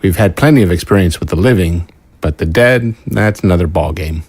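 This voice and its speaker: A man's voice: sits low, expressive, fairly quick, darkish tone, breathy edge, heavily acted. A man playing a skeptical scientist in an audio drama, dry, formal and probing.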